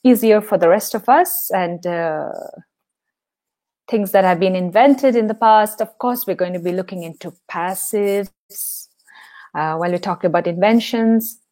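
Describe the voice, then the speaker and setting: A cheerful woman teaching a live online English class. She speaks slowly and stretches out her words, full of enthusiasm and quick to laugh. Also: medium pitch, warm tone.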